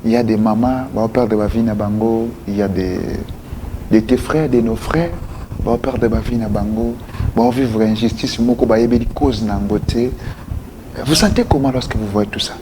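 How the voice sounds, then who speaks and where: A man from DR Congo hosting a live call-in interview, talking with animated swings and slipping between French and Lingala. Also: on the low side, normal speed, background noise. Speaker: host.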